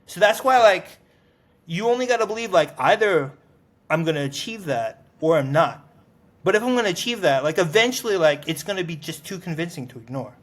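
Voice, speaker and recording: A man's voice: high-pitched, lively intonation, brisk, fairly bright tone. A man in his forties co-hosting a casual podcast chat, talking emphatically with lots of "like" and some swearing. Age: 40s. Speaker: podcast co-host.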